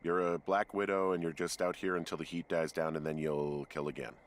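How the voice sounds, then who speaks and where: A man's voice: deep voice, little pitch movement, fairly quick, close to an everyday voice. An actor playing a weary, sarcastic everyman rookie fire lookout in a video game, speaking in a dry, reluctant, casual way. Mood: tired, sarcastic. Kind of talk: naturalistic video game dialogue.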